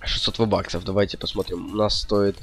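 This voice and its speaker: Low-voiced young man rambling over music. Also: little pitch movement, brisk, fairly bright tone. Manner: slangy, indecisive rambling to viewers. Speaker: gaming video host. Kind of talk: casual gameplay commentary.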